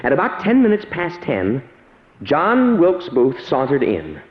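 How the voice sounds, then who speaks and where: A man's voice: a little high, wildly swooping pitch, measured pace. An earnest young male research assistant speaks with dramatic deliberation. His delivery rises and falls animatedly, intense and respectful, through a muffled old radio recording with a long reverberant tail. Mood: grave narration. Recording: echoey, dull vintage radio recording.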